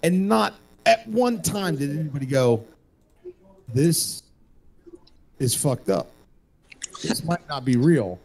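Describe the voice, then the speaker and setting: A man's voice: fairly high pitch, expressive, slow, gravelly, pauses often, a little mellow. A man hosting a casual group talk show, rambling and joking, swearing freely and repeating phrases for emphasis.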